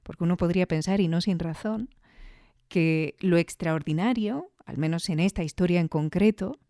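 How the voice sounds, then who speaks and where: A woman with an accent from Spain hosts a podcast in a reflective, conversational way. Her voice is soft-edged and mellow, sitting a little low. The recording is dry and close, and she leaves thoughtful pauses as she goes. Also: no echo. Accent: Spanish from Spain. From Granada, Spain. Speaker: podcast host.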